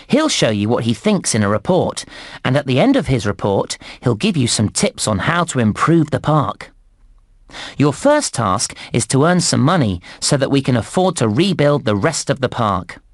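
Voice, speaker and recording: A man's voice: medium pitch, no room sound, clean studio sound. A man voicing a cheerful, encouraging theme park guide, narrating a children's game in a scripted, presenter-like delivery. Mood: upbeat, encouraging.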